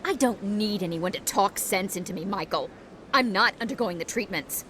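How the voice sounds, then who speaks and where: An actress playing a stubborn, grieving young widow in a video game drama, defiant and emotional. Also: female voice, medium pitch, moderate pace, fairly bright tone, slight background noise, natural voice. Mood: defiant, upset.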